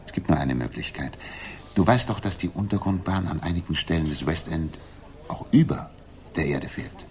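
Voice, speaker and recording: An actor playing a confident, methodical Victorian detective in a 1960s German radio play, reasoning in a deliberate, commanding way. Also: male voice, low voice, lively intonation. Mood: explaining, assured. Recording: noisy 1960s radio drama recording.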